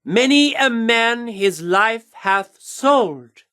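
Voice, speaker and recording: An actor playing a pompous, flamboyant theatre troupe director in a video game, with a haughty, grandiloquent, theatrical delivery. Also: male voice, very high-pitched, lively intonation, very slow, bright, forceful tone, clear, resonant tone, full character voice. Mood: declaiming. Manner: theatrical, grandiloquent, haughty, archaic turns of phrase. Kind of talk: dramatic video game character acting.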